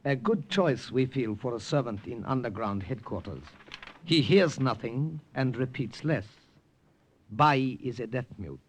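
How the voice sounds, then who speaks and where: An actor playing a courteous Siamese major of the wartime underground, with stiffly formal phrasing, in a 1950s radio drama. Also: male voice, mid-range voice, lively intonation, a little slow, natural voice. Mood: gracious hosting. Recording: noisy old radio recording with clicks.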